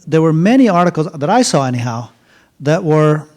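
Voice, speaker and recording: Halting, rambling man telling a story. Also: a little high, very animated, darkish tone. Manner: rambling, halting, with many fillers and restarts. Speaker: author speaking at a university event. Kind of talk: casual storytelling talk. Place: university lecture event.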